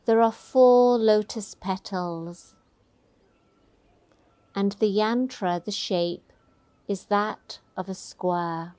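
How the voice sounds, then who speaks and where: A woman teaching a guided meditation, giving gentle, soothing, unhurried instructions. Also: mid-range voice, no room sound.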